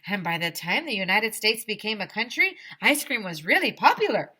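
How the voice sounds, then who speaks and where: A woman's voice: mid-range voice, expressive, normal speed, sharp tone, slightly rough. A woman voicing a chatty male ice cream man from a storybook, an eager know-it-all who lectures emphatically. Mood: eager lecturing.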